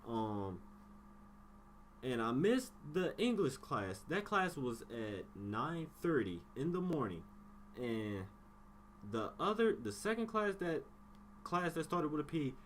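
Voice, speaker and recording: A man's voice: fairly high pitch, big pitch swings, takes it slowly, slight background noise. A young adult man telling a personal story casually and bluntly, slipping into bits of acted dialogue with slangy swearing. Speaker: YouTube storyteller.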